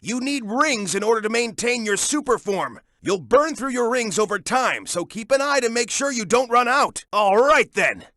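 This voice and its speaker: Young male cartoon echidna game hero, American accent, brash, commanding, animated swoops, dry close sound, punchy callouts. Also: extremely high pitch, normal speed, few pauses, no room sound, full character voice. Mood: urgent, forceful. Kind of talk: video game hint lines and battle callouts.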